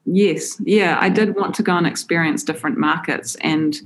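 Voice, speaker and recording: A woman's voice: lowish voice, moderate pace. A woman from New Zealand with a New Zealand accent answering interview questions casually and enthusiastically, with frequent "um" fillers. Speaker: interview guest, creative director.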